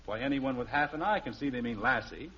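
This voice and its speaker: A man's voice: mid-range voice, fairly quick, highs cut off, very noisy, close to an everyday voice. An actor playing a wry, mock-pompous American father in a 1950s radio sitcom, on an old recording. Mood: teasing. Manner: florid, mock-pompous phrasing.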